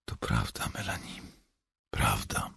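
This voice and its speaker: A man voicing a calm, commanding male leader of a commune in an audiobook, intense and soothing in a measured murmur. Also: rumbling low, slightly rough, no echo, pitched above the performer's own voice, full character voice. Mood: grave, hushed.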